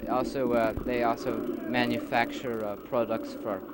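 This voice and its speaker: A man playing an earnest leader in an amateur philosophical audio drama, explaining his society formally and haltingly on a homemade nineties recording. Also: medium pitch, flat delivery, very noisy, natural voice. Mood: earnest, explanatory. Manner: halting, explanatory, formal wording with many hesitations.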